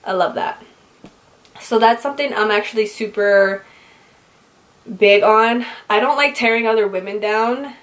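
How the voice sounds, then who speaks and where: A woman's voice: a little high, a little slow, long pauses, a bit bright, rough recording. Chatty young woman vlogger. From California, raised in Utah. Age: 20s. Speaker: beauty vlogger. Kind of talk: casual get-to-know-me Q&A.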